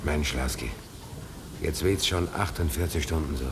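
A man's voice: very deep voice, normal speed. A man acting an anxious, talkative seaman in a German radio play, urgently repeating himself, with dramatic intensity. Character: anxious, talkative seaman called Piep, in storm and shipwreck. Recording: noisy old radio play recording.

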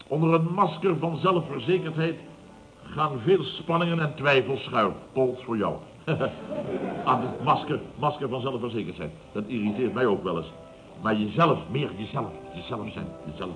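An actor playing a pompous, self-satisfied middle-aged boss who exclaims and stammers when flustered, in old radio comedy style. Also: male voice, medium pitch, fairly bright tone. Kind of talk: 1970s Dutch radio comedy acting. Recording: dull, noisy vintage radio recording.

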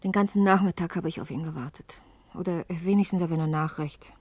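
A woman's voice: lowish voice, steady tone, brisk, darkish tone, no echo, close to an everyday voice. An actress plays an anxious woman in a radio drama, caught very close and dry. She speaks intimately and with strain, as someone living through a search for a man she cares about. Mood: subdued, tense recollection. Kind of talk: German radio play acting and narration.

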